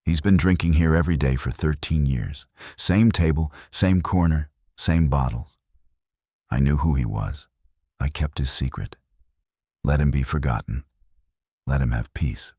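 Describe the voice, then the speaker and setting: Man as a quiet, deep-voiced western townsman. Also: measured pace, husky, no room sound, studio quality, heavily acted. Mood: grave reproach. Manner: quiet, certain, reproachful. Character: steadfast male townsman guarding an old secret. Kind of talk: western audio story dialogue.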